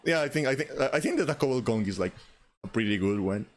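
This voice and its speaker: A man's voice: medium pitch, animated, fast, slight background noise. A man in his late twenties chatting casually and comically as a streamer, complaining in an exasperated way and swearing freely. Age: late 20s. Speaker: online streamer, podcast host. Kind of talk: casual comedic chat.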